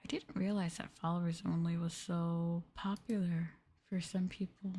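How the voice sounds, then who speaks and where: A woman streamer chatting softly in an ASMR livestream style, gentle and hesitant, sometimes drifting into a whisper. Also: lowish voice, little pitch movement, normal speed. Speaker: streamer, VTuber host.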